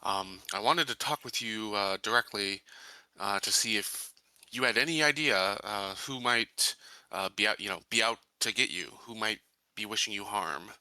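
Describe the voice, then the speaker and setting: A man playing a courteous, earnest sheriff in a tabletop roleplay, speaking in a measured, formal, somewhat hesitant way. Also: on the low side, bright, forceful tone, no echo, poor audio. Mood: earnest, concerned. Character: courteous, earnest colony sheriff questioning a victim.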